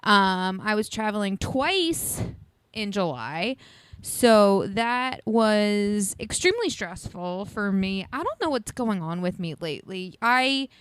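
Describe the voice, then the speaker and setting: A woman's voice: mid-range voice, big pitch swings, slow, smooth, no echo. A woman around thirty co-hosting a podcast, chatting casually and a bit sniffly, rambling with frequent laughs. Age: 30s. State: sniffly, congested. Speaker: podcast co-host. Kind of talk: casual chat.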